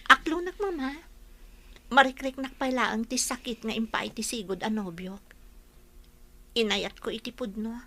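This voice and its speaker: Woman playing young heartbroken nurse daughter, sincere, high bright voice, expressive, audio drama. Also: normal speed, long pauses. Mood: wistful, sincere. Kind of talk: Ilocano audio drama dialogue.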